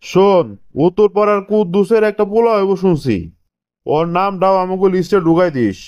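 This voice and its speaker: A calculating cartoon party boss, played by a man. His voice is smooth and on the high side, and he gives orders in colloquial Bangladeshi. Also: moderate pace, a little mellow, highs cut off, lightly acted. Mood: commanding. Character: domineering, calculating male party leader. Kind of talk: cartoon dialogue.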